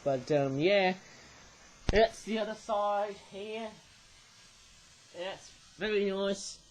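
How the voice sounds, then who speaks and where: A young man with an Australian accent and a notably high voice, talking through a toy review in a loose, rambling way. He keeps tacking a questioning "eh?" onto his remarks as he goes. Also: extremely slow, slight background noise, poor audio. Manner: rambling, tags sentences with "eh?". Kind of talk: casual toy review monologue.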